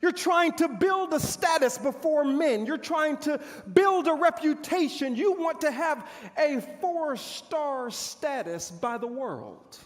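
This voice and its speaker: Man playing a boastful ancient army commander, very high voice, swooping delivery, pompous stage monologue, dramatic pauses. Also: lively intonation, heavily acted. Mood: taunting. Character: proud, boastful male army commander of ancient Israel's era, bitter. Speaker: pastor performing a monologue. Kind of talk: theatrical stage monologue to an audience. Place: large church hall stage. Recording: echoey hall with long reverb.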